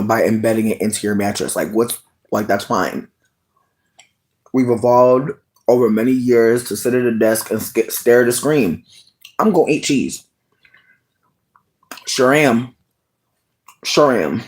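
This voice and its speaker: A person livestreaming casual chat with viewers, sassy and expressive with lots of swearing, talking while snacking. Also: medium pitch, unhurried, long pauses.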